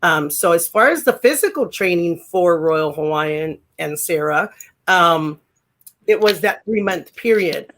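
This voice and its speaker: A woman in her sixties with a deep voice, chatting as a guest in an interview, rambling with many fillers and restarts. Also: lively intonation, measured pace, a bit bright. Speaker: guest, former pro wrestler. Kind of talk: casual interview chat.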